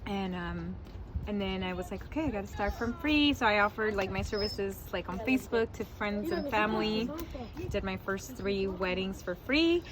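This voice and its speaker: A woman's voice: medium pitch, lively intonation, moderate pace, crowd noise. A woman in her forties who was born in Mexico, answering interview questions as a wedding planner in a candid, casual way, laughing often and swearing now and then.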